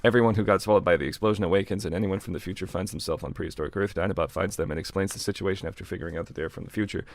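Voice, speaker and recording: A man's voice: low voice, fairly even intonation, racing, hardly any pauses, no room sound. A man co-hosting, reading fan fiction aloud and breaking off to comment casually.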